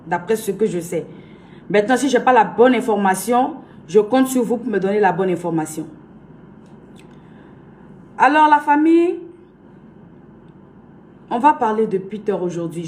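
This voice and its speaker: A woman's voice: medium pitch, darkish tone, slight background noise. A young woman from Côte d'Ivoire with an Ivorian French accent, a blogger and influencer speaking earnestly and emphatically to her followers, repeating herself for weight. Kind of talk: direct-to-camera address to followers.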